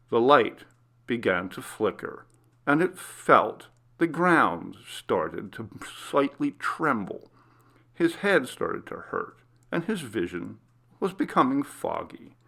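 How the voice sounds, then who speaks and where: A man's voice: medium pitch, gravelly, a bit bright. A man reading a scripted horror story as its narrator.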